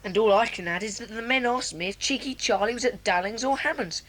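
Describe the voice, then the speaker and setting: A woman voicing a plucky schoolboy who reports what happened in a steady, plain, matter-of-fact way in a 1980s children's audio drama. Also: a little high, fairly quick, lightly acted.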